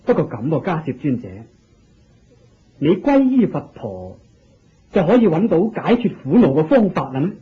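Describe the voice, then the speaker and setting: An actor with a Hong Kong Cantonese accent playing a hearty, curious, gracious king of ancient India in a Buddhist radio drama, in an expressive, exclamatory manner. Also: on the high side, moderate pace, long pauses, husky, heavily acted. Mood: intrigued, probing. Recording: dull lo-fi radio drama recording.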